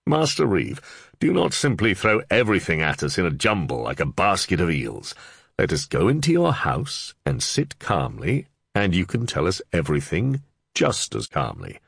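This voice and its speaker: A calm under-sheriff used to being obeyed, measured and commanding, played by a male narrator. The delivery is lively in pitch with a slight gravel. It has dry, close studio sound for a storybook audiobook. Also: dry recording, full character voice. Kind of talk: audiobook character voice.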